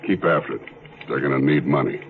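An actor playing a shrewd, methodical police detective who narrates his case in a measured way in a 1970s radio drama. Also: rumbling low, animated. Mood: businesslike, determined. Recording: dull 1970s radio recording with music.

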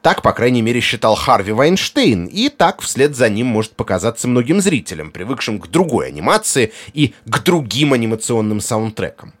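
Man, mid-pitched voice, animated intonation, articulate scripted podcast narration, emphatic phrasing. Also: normal speed, few pauses, husky. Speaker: podcast host.

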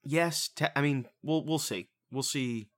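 Profane, rambling young podcast host. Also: male voice, mid-range voice, a little slow. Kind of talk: casual podcast banter.

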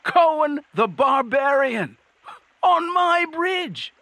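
A man's voice: sits high, huge pitch swings, unhurried, a bit bright, slightly rough, no room sound, pitched above the performer's own voice, full character voice. A man voicing a big, dim, starstruck stone troll who exclaims effusively in a fantasy storybook. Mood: overjoyed, excited.

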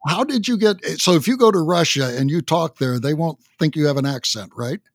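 A man's voice: on the high side, expressive, no room sound. Dry-sounding podcast host, a man. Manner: measured, asks follow-up questions. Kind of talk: interview podcast.

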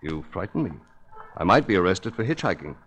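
Deep-voiced suave radio detective. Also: male voice, sing-song, fairly quick, a bit bright, a little hiss or hum. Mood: urbane, flippant. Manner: dry, urbane, wisecracking. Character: suave, wry hard-boiled male investigator narrating his adventure. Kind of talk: 1940s radio drama narration and acting.

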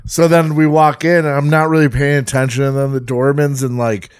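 Laid-back, crude podcast storyteller. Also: male voice, mid-range voice, level tone, brisk, clean tone, dry recording. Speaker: podcast co-host.

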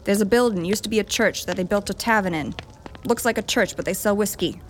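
A bossy noblewoman acted by a woman gives orders in a brisk, dry, sardonic way. It is an audio drama with faint noise in the background. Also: mid-range voice, close to an everyday voice. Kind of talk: scripted audio drama acting.

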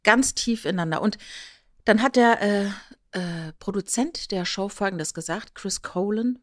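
A woman with a mid-pitched voice chats as a podcast co-host. She explains things like a storyteller, slipping into quotes and checking in with little tag questions. The sound is very dry, with hardly any room in it. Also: unhurried, no echo. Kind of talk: casual podcast chat, explanatory retelling.

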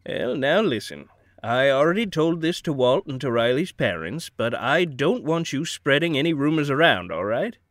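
A man's voice: mid-range voice, very animated, normal speed, dry recording, studio quality, lightly acted. A man playing a genial, evasive older small-town mayor in an audio drama, folksy and avuncular, hemming and hedging as he talks. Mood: guarded, confiding.

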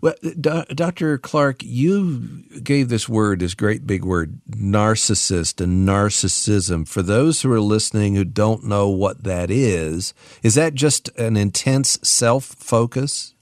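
A man's voice: on the low side, takes it slowly, few pauses, dry recording, studio quality. A man co-hosting a radio interview, asking questions conversationally on behalf of the listeners. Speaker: radio show co-host.

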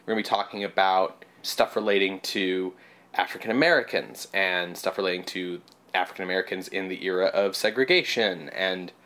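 Young canadian guy, stuffed up and nasal, bouncy lively voice, chatty. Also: lowish voice. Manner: chatty, animated delivery with quick asides. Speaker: podcast co-host. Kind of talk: casual podcast chat.

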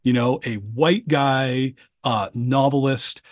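A man's voice: medium pitch, no room sound, clean studio sound. A man, co-hosting and producing a book podcast, talking casually with frequent restarts and fillers. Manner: halting, many restarts and fillers.

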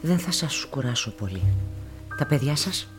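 Tactful investigator over music. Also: male voice, a little high, very animated, a bit bright. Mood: polite, tentative. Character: polite, tactful male police investigator questioning a widow. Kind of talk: radio play dialogue. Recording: music under the voice.

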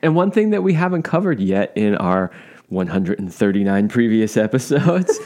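A man's voice: mid-range voice, darkish tone. A man co-hosting a relationship podcast who talks eagerly, rambles, and restarts his sentences as he sets up the interview. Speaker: podcast co-host.

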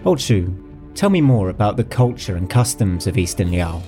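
A man voicing a calm, curious young nobleman who speaks in brisk, level sentences. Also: deep voice, soft background music, pitched above the performer's own voice.

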